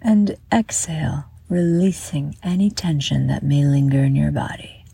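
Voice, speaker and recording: A woman guiding a meditation from a script in a soothing, measured manner, with breathy exhaled phrases. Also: low voice, warm tone. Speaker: meditation guide and host. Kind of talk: guided meditation, scripted narration.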